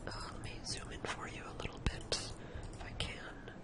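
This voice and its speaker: Someone whispering an informal ASMR-style spreadsheet tutorial, thinking aloud while demonstrating each step. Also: normal speed.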